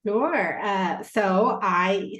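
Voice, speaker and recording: A woman's voice: medium pitch, very slow, a bit bright. A middle-aged woman from Texas with an American accent, a guest astrologer who talks in a chatty way and laughs often. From Texas, United States. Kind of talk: casual conversation over video. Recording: echoey room.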